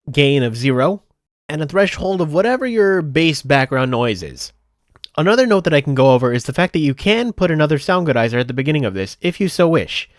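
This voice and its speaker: A man narrating a software tutorial step by step in a methodical, explanatory way. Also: on the high side, dry recording, studio quality. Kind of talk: step-by-step instructional voice-over.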